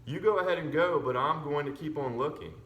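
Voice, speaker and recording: A man's voice: on the high side, brisk, fairly bright tone, highs cut off, close to an everyday voice. Man reading as upset, stubborn young girl. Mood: angry, defiant. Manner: emotional, insistent pleading. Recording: roomy echo with background noise.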